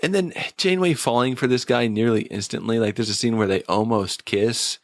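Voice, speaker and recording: A man's voice: medium pitch, a little fast, no echo. A man co-hosting a podcast, casually picking apart a TV episode in a wry, critical way. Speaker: podcast co-host. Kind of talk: casual TV episode review chat.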